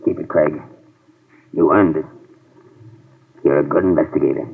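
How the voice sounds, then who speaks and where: A man's voice: rumbling low, fairly even intonation, normal speed, gravelly, husky, full character voice. A man playing a sad-faced bail bondsman driven to vengeance, speaking hesitantly in 1950s radio drama style. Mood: subdued. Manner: hesitant, subdued speech. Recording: tinny, distant old radio transcription.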